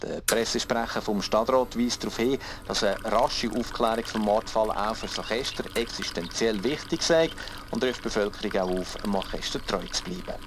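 An actor playing a matter-of-fact local radio newsreader, reading bulletins in a radio drama. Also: male voice, lowish voice, normal speed, lightly acted. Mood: neutral, informative. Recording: clearly noisy background.

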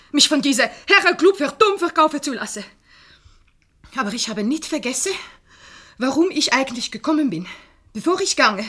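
High, melodramatic jealous Swiss wife. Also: female voice, pushed and bright, exaggerated voice. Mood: defiant. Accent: Swiss German. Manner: indignant, theatrical, quick to take offence. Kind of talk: 1970s radio comedy acting.

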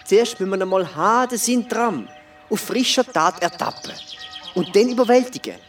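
Peasant boy for children's radio play, acted, Swiss German, excitable and hesitant, very lively intonation. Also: mid-range voice, moderate pace, recorded from a distance, soft background music, close to an everyday voice. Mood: nervous protest. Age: child figure.

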